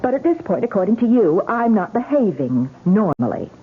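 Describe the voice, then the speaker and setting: Actress as stubborn young wife in old radio drama, swoopy delivery, tinny n a bit noisy. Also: female voice, mid-range voice, very animated, normal speed, lightly acted. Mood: tense, insistent. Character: stubborn, exasperated young newlywed wife searching for husband. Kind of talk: 1970s radio drama acting.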